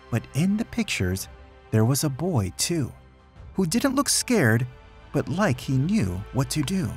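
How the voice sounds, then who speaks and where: A man reading a rhyming children's picture book aloud in an expressive, dramatic storytelling manner. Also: mid-range voice. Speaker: storybook reader.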